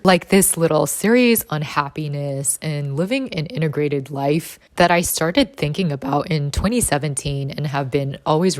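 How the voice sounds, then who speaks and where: A woman in her thirties with a husky voice, chatting casually as a vlogger about her trading. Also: sits low, no room sound, clean studio sound. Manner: relaxed and chatty, with light laughs. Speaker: vlogger and trader. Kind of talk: casual vlog narration.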